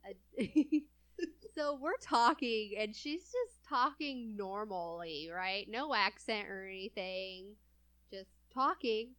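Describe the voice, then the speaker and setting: A woman's voice: mid-range voice, animated, takes it slowly, a bit bright. A young adult woman from California chatting casually as a co-host, laughing often between phrases. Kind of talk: casual chat.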